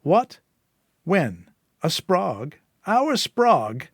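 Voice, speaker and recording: A man's voice: sits high, big pitch swings, extremely slow, no room sound, studio quality, voice pushed up, exaggerated voice. A man voicing a volatile, menacing woman gangster in a story reading, taunting and sweary, with heavy emphasis. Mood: taunting, furious.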